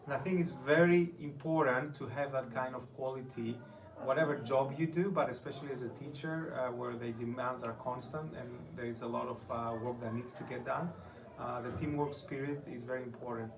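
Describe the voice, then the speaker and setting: A man from Greece with a Greek accent gives an informal testimonial talk as a teacher, speaking haltingly with frequent pauses. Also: medium pitch, steady tone. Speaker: high school and middle school teacher. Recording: distant mic with clear background noise.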